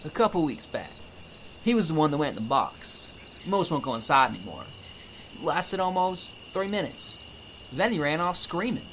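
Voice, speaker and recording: A man's voice: fairly high pitch, big pitch swings, normal speed, fairly bright tone, a little hiss or hum. A man playing a scruffy, middle-aged, crude and amused eccentric loner in an audio drama. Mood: laid-back, amused.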